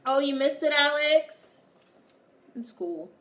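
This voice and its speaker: A woman's voice: high-pitched, expressive, slow pace, fairly bright tone. A young woman hosting a live watch-along stream, talking bluntly and candidly to her chat. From United States. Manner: blunt, candid and profane, reacting to chat comments. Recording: roomy sound, voice distant from the mic.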